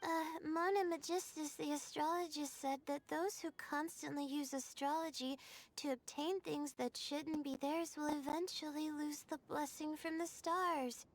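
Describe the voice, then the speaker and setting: A woman's voice: sits high, fairly even intonation, unhurried, low-quality recording. A woman voicing a shy, earnest, self-doubting young astrologer in a video game, speaking hesitantly and politely.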